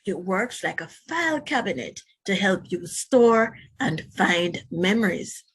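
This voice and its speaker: A woman reads to young children as their teacher. Her pace is leisurely and her medium-pitched voice has a husky edge. She stresses key words expressively and speaks directly to her listeners with explanatory asides. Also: lively intonation, unhurried. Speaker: children's read-aloud teacher. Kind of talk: read-aloud storytelling with explanatory asides to children.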